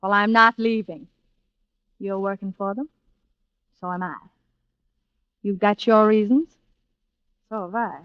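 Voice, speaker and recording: An actress playing a guarded, tough nightclub singer in an old radio thriller, with terse, unsentimental delivery. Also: female voice, mid-range voice, steady tone, unhurried, pauses often, clean tone, no echo, natural voice. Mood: defiant. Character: cool, guarded, hard-bitten nightclub singer, a woman. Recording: dull, lo-fi vintage radio recording.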